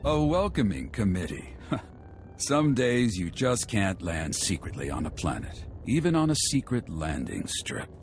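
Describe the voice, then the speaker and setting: Smug, low-voiced theatrical assassin. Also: male voice, sing-song, a little slow, a little hiss or hum. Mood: amused, taunting. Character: smug, chatty assassin, admiring yet deadly villain. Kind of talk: video game voice acting.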